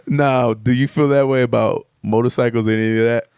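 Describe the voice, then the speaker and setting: A man co-hosting a podcast, casual and animated, quick to laugh and pose questions. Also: mid-range voice, smooth, no room sound, clean studio sound. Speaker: podcast co-host. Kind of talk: casual chat.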